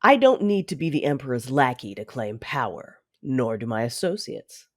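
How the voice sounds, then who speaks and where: A woman playing a commanding, coolly menacing Sith lord in an audio drama, speaking in a measured, imperious, formal way. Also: rumbling low, very animated, full character voice.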